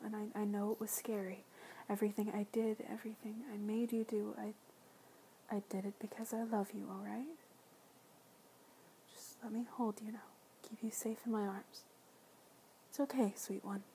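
A woman whispering softly in an ASMR roleplay, soothing and tender, with gentle pet names and quiet repeated reassurances. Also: medium pitch, flat delivery, moderate pace, a little hiss or hum, rough recording. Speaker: audio roleplay performer.

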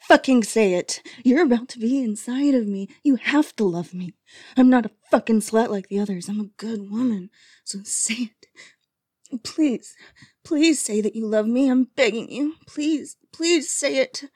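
A woman voicing an unstable, possessive female lover in an audio roleplay, speaking in a breathy, often whispered voice. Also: fairly high pitch, mellow, no echo, studio quality. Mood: desperate pleading. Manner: slow, intimate delivery that swings to desperate pleading.

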